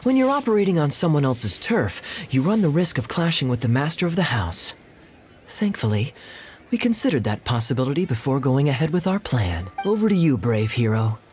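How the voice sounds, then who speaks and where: An actor playing a cunning, playful, self-assured gambler in a video game, with smooth theatrical delivery. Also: mid-range voice, sing-song, fairly quick, a little mellow, lightly acted. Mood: sly, teasing. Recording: game music behind the voice.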